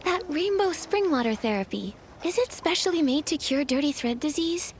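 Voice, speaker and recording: Very high-voiced, gentle young heroine. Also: female voice, expressive, normal speed, a little hiss or hum. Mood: polite, inquiring. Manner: polite, inquisitive. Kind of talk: video game character acting.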